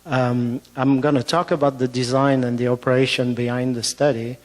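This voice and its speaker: A man's voice: mid-range voice, fairly even intonation, moderate pace. A man with a French accent giving a medical conference talk, hesitant with frequent fillers and restarts. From France. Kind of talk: conference presentation. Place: conference hall. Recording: long reverb of a hall.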